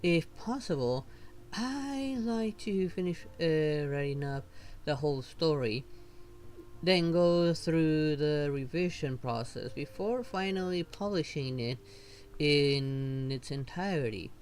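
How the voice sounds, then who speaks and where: A streamer voicing a weary, earnest female novelist from a fantasy game in a halting, formal way. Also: mid-range voice, a little mellow, natural voice. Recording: noticeable background noise.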